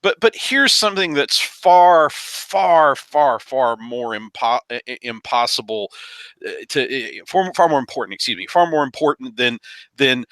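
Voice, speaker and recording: A man's voice: mid-range voice, pushed and bright, no room sound. A man on a podcast panel casually discussing films in a wry, jokey way, often repeating words as he builds a point.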